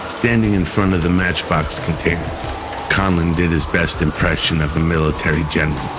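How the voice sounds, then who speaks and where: A man with a very low voice narrates a radio drama. He tells the story in a measured, solemn way with very little melodic movement. Music plays clearly underneath. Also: steady tone.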